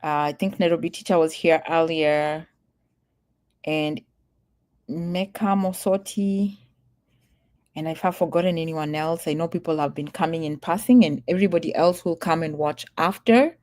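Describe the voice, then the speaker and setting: A woman vlogger from Kenya talks straight to her viewers in a loose, chatty way. Her voice sits a little low for a woman, and she leans into her warnings, saying them again and again. She laughs easily between points. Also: normal speed, darkish tone. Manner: earnest, emphatic, repeats warnings, laughs easily. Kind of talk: casual livestream talk to viewers.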